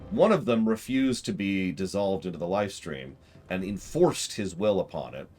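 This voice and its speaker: A man with a very low, animated voice talks through a game on a live stream. He explains things discursively, working out his thoughts as he speaks, with music playing underneath. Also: moderate pace. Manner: discursive, explanatory, thinking aloud. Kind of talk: casual live stream commentary.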